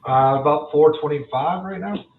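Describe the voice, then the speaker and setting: A man's voice: a little high, moderate pace. A man in his forties, a loan officer guest on a podcast, speaking candidly and reflectively. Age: 40s. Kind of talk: podcast interview. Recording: echoey, tinny remote call audio.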